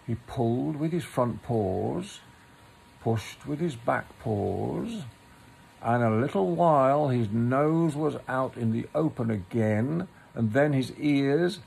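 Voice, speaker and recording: A man reads a children's story in a gravelly, creaky voice. He takes it slowly at a middling pitch and speaks to the listener directly at times. There is faint noise in the recording. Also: lively intonation, darkish tone, low-quality recording. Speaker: storybook reader. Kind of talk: read-aloud children's story narration with asides to the listener.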